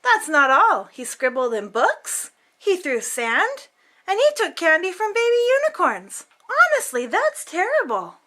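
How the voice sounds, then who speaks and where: A woman's voice: extremely high pitch, huge pitch swings, unhurried, sharp tone. A woman reading a children's picture book aloud as host, theatrically, drawing out words for emphasis.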